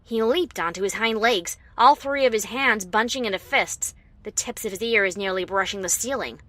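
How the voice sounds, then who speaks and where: A woman playing a wry, defiant adventurer heroine, narrating her story in the first person with expressive, dramatic delivery. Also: mid-range voice, moderate pace, natural voice. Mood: tense storytelling.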